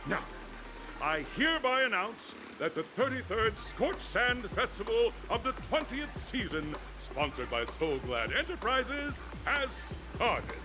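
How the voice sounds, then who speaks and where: Flamboyant festival host, wildly swooping voice. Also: male voice, extremely high pitch, big pitch swings, a little slow, over music, exaggerated voice, rough recording. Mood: exuberant. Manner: grandiose, effusive showman. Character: flamboyant male festival host and drink tycoon. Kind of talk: theatrical game-show announcing.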